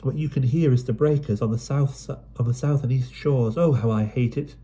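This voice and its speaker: A man's voice: medium pitch, normal speed, mellow, voice pushed up, natural voice. A man voicing a timid, gloomy young girl who talks in a plaintive, self-pitying way in an audiobook. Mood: dreary. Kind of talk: audiobook character voice.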